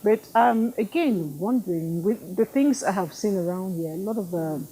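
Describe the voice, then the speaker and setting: A woman hosting a TV interview, asking gentle probing questions and murmuring along as her guest talks. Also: medium pitch, moderate pace, slight background noise, poor audio. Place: living room studio with sofas.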